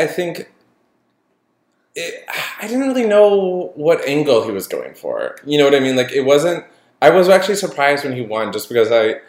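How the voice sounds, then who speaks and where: A man co-hosting a casual podcast about movie awards, talking in an opinionated, animated way with frequent fillers. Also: medium pitch, echoey room. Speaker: podcast co-host. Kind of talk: casual film chat.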